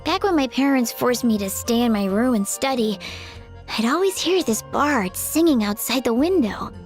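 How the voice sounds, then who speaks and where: A woman's voice: fairly high pitch, lively intonation, normal speed, natural voice. A woman voicing a shy, earnest young aspiring bard in a video game, hesitant and apologetic in the way she talks. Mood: wistful, anxious. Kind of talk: video game character acting. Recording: music behind the voice.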